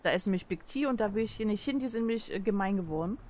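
A woman's voice: mid-range voice, animated, very fast, a little hiss or hum. A woman co-hosting a casual co-op game stream, slightly congested from a cold and chatty. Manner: chatty, addresses viewers warmly as "ihr Lieben". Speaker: let's play co-host. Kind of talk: casual gaming commentary.

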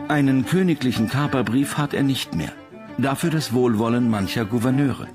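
A man reading scripted documentary narration as the narrator. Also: mid-range voice. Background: music behind the voice.

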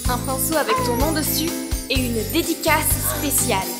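A woman's voice: high voice, normal speed. A woman voicing a sweet female cartoon puppy, tender and sincere, with reverb on the voice. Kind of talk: French cartoon dubbing. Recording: long echoing reverb, loud music underneath.

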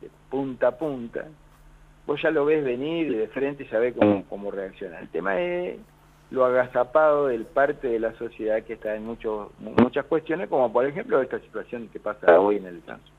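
A man's voice: medium pitch, lively intonation, moderate pace. An Argentine man, a union leader calling in to a radio show, talking in a rambling way with many restarts. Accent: Argentine Spanish. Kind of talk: phone-in radio interview. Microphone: telephone. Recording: tinny phone line.

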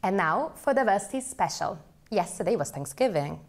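A woman's voice: medium pitch, expressive, moderate pace. A young woman studio presenter delivering scripted links in a dramatic, tongue-in-cheek manner. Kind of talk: scripted link.

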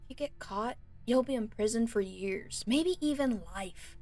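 Woman acting a high-voiced pleading kidnapped captive. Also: darkish tone. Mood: pleading, reproachful. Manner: pleading, halting, breaking off. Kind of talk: ASMR audio roleplay.

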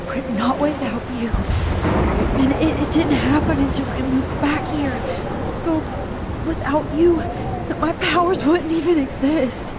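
An actress playing a shy young student who can rewind time, earnest and anxious, in a video game, with loud storm noise behind. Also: female voice, high voice, steady tone, a little slow. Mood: heartfelt.

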